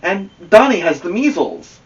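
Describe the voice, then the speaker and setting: A man voicing excitable young boys on a storybook baseball team, exclaiming and bossily coaching. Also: high-pitched, normal speed, recorded from a distance, highs cut off, voice pushed up. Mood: explaining.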